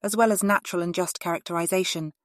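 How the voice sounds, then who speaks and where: A woman's voice: lowish voice, fairly even intonation, quick pace, no room sound, studio quality, natural voice. A woman playing a genteel, candid Regency-era lady of letters in a refined, composed dramatized reading.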